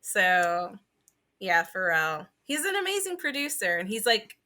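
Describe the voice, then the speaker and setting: A woman's voice: medium pitch, very animated, unhurried, bright, forceful tone. A woman co-hosting a podcast, chatting casually with enthusiastic, slangy talk full of "like". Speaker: podcast co-host. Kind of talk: casual chat.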